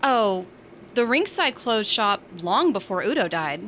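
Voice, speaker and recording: A woman's voice: mid-range voice, sing-song, measured pace, a bit bright, slight background noise. A woman playing a teasing, feisty space station resident in a science fiction audio drama, full of sassy banter. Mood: chatty, teasing.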